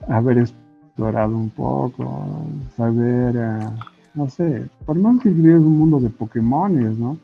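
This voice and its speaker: A man's voice: mid-range voice, slow, gravelly. A Bolivian man speaking Spanish as an interview guest, thoughtful and hesitant, often checking in with short tag questions. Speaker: guest, comic artist and illustrator. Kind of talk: casual interview conversation. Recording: muffled lo-fi audio with faint music. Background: faint background music.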